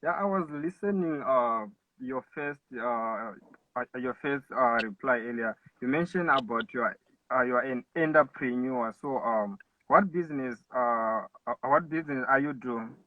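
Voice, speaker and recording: A man hosting an interview, asking questions haltingly as he searches for words. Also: medium pitch, dry recording. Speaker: podcast host. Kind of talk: casual interview. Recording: tinny phone line, distant and dry.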